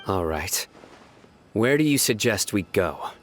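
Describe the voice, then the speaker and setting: Stern quiet investigator guy, really low voice, short clipped lines. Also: slow, faint music behind. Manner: calm, terse, commanding. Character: stern, reserved male lone investigator. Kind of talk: game voice acting.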